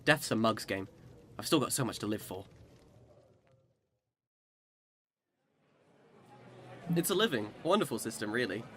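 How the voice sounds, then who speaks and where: A man's voice: on the low side, very animated, fast talker, fairly bright tone, a little hiss or hum. A man acting in a radio drama as a boastful immortal Englishman who swings between cheer and despair, with theatrical old-time speech. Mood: wry, self-assured.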